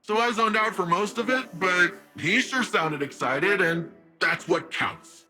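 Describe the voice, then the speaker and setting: A man's voice: sits high, expressive, measured pace, sharp tone, faint music behind, full character voice. A man playing a youthful, earnest cyber-enhanced hero in a video game, chatty and informal. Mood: breezy, playful. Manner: informal, breezy. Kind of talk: conversational video game acting.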